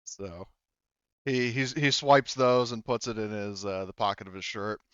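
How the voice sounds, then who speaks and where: A man's voice: fairly low pitch, steady tone, unhurried, a bit bright, clean tone, dry recording, dull audio. A man running a tabletop game as game master, narrating and chatting informally with joking, sweary asides. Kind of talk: casual game narration and chat.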